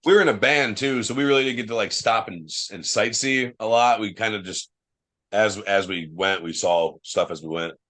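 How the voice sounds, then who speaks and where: A young man from Ohio, a wrestler guesting on a podcast, telling loose, profane travel stories full of fillers, with a loud voice. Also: lowish voice, moderate pace. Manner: says he has a loud voice. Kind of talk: casual podcast chat.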